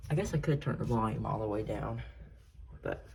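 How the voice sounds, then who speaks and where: A man's voice: on the low side, gravelly, darkish tone. A man giving a casual, hands-on show-and-tell as a collector, rambling and often correcting himself as he goes. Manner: halting, rambling, self-correcting. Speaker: radio collector showing a collection. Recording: noisy room with clicks.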